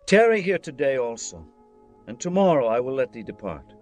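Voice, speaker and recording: Man acting an imperious king with swooping pitch. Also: big pitch swings, normal speed, darkish tone, natural voice. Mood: persuasive. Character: commanding, calculating ancient king of Israel. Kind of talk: dramatized scripture acting. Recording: music behind the voice.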